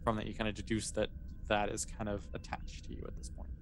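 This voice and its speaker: A man's voice: fairly low pitch, huge pitch swings, fast talker, slight background noise. A man acting as game master, narrating a tabletop sci-fi roleplaying game in a casual, conversational, explanatory way.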